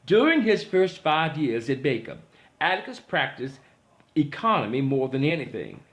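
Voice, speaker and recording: A man's voice: a little high, big pitch swings, moderate pace. Man narrating a novel aloud. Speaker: audiobook reader. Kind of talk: scripted literary narration read aloud.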